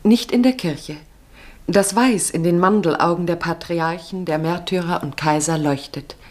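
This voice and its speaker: A woman narrating a literary radio play in a serious, measured, descriptive manner. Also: fairly low pitch, a little mellow.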